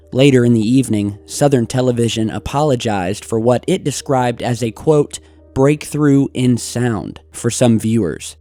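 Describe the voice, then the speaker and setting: Mellow American male narrator over music. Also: medium pitch. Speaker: YouTube host narrator. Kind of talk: scripted narration of a mystery.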